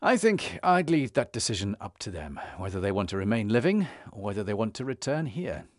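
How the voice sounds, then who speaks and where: An actor playing an earnest, inquisitive young hero in a fantasy game, speaking in a direct, questioning way. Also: male voice, fairly low pitch, very animated, brisk, no room sound, studio quality. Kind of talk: fantasy game cutscene acting.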